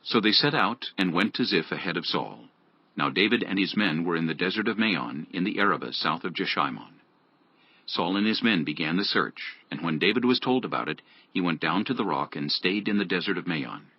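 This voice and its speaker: A man's voice: low-pitched, lively intonation, a little fast, breathy edge, no room sound. A man narrating scripture as a scripted dramatized audiobook. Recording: dull lo-fi audio.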